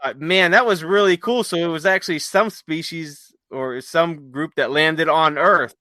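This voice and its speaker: A man podcast host talking casually, telling stories in a halting, conversational way. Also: fairly high pitch, bright, forceful tone, no room sound, clean studio sound. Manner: halting, conversational, retells stories with quoted dialogue. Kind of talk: casual chat, rambling storytelling.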